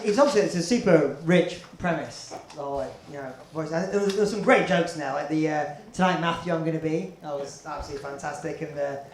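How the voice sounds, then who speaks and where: Higher-voiced rambling man in an echoey room. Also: quick pace, hardly any pauses. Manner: hesitant, rambling, repeats words for emphasis. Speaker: comedy show judge. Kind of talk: casual spoken feedback. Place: live comedy venue. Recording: echoey room, faint background noise.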